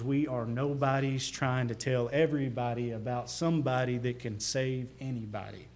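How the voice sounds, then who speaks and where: A man with a Southern accent delivers a sermon from the pulpit, his pitch sitting in an ordinary middle range. He preaches earnestly, pressing louder on key phrases and falling soft at the ends of thoughts. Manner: earnest preaching with rising emphasis and quiet drops. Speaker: pastor. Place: church.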